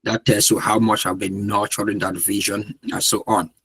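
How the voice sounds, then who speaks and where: This is a man speaking in a somewhat low, husky voice. He talks earnestly and repeats himself, often trailing into "and so on." The sound is dry and close, as on a video call. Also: little pitch movement, normal speed, a bit bright, dry recording. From Cameroon. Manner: earnest, repetitive, peppered with "and so on". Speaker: guest speaker. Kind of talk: motivational talk.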